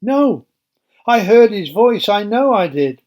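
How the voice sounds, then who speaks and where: Man voicing an excitable young woman, pitched high. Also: slow, heavily acted. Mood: insistent. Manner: exclamatory, urgent. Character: startled, excitable young servant woman, breathless and insistent.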